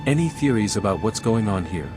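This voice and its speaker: A man's voice: on the low side, lively intonation, a little fast, over music, close to an everyday voice. A man playing a practical, plain-spoken adventurer in a video game, with an everyday questioning delivery. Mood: serious, questioning. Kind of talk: scripted video game acting.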